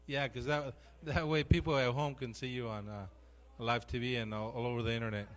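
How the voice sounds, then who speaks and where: A man's voice: medium pitch, little pitch movement. Man chairing a council meeting. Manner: brisk, directing the meeting.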